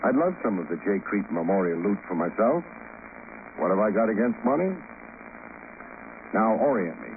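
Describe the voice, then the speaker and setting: A man's voice: fairly low pitch, very animated, moderate pace, long pauses. An American man playing a wry, unflappable private investigator who also narrates, in old-time radio detective style. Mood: wry, brisk. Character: wry hard-boiled male private investigator. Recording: noisy, narrow-band old radio recording.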